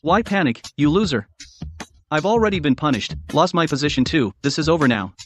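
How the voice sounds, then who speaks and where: An actor playing an arrogant, scornful executive in a dubbed melodrama, brash and sneering. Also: male voice, a little high, animated, a little fast. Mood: scornful, irritated.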